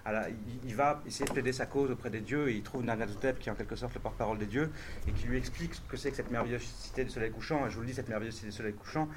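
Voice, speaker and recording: A man's voice: medium pitch, racing, background noise. A man translator speaking on a festival panel, answering audience questions with many restarts and fillers. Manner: hesitant, restarts often, thinks aloud.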